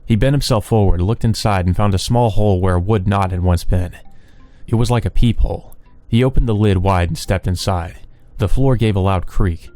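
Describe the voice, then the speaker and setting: A man's voice: lowish voice, normal speed, dark tone, dry recording. A man reading a visual novel aloud as the host of a let's play. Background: background music.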